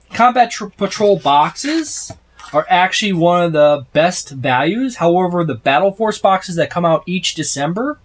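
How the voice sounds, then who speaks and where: Guy in his 30s flipping thru a game book, kinda high voice, lots of uhs and restarts, mic up close. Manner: rambling, many fillers and restarts. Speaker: tabletop gaming video host. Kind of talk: casual book overview. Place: room full of game shelves, indoors. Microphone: special microphone, close.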